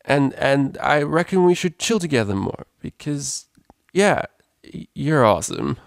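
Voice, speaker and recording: Man acting out a tipsy female unicorn, storybook character, affectionate, rambling, raised pitch, close dry sound. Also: big pitch swings, unhurried, creaky, no echo, full character voice. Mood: earnest, gushing. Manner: rambling, informal, hesitant drunken speech. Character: drunk, slurring, affectionate female unicorn DJ pony.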